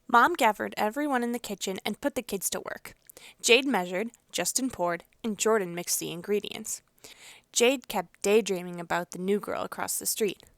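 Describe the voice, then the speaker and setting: A woman's voice: mid-range voice, normal speed, a bit bright, no room sound, studio quality. A woman narrating a children's picture book as a storyteller, reading expressively. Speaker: read-aloud storyteller.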